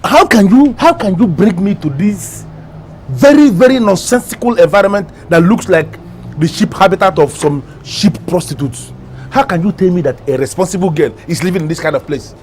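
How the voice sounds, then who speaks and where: A man's voice: high voice, lively intonation, normal speed, a little mellow, slight background noise. An actor playing a pompous, fussy, indignant man in a comedic drama, with a Nigerian accent and a wordy, repetitive way of arguing. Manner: pompous, wordy, repeats himself with synonyms. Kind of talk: comedic film drama acting.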